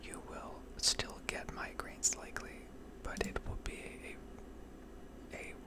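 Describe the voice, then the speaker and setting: Whispering young woman, rambling ASMR. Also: slow. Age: 20s. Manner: rambling, digressive. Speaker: gaming ASMR creator. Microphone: close condenser mic.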